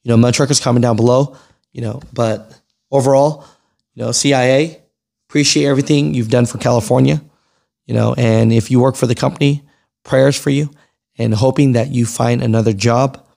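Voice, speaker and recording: A man's voice: mid-range voice, fairly even intonation, brisk, creaky, darkish tone. A man in his thirties hosting an informal news report, conversational and emphatic, reading and commenting into a close microphone. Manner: conversational, emphatic, often tags points with "right" and "you know". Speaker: host of a trucking news channel. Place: small furnished room at home, indoors. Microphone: close broadcast microphone on a boom arm.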